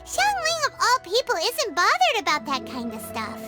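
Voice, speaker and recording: A woman voicing a tiny, excitable childlike fairy companion in a video game, squeaky and exclamatory. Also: moderate pace, over loud music, full character voice. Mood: chirpy. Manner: speaks in third person, exclamatory.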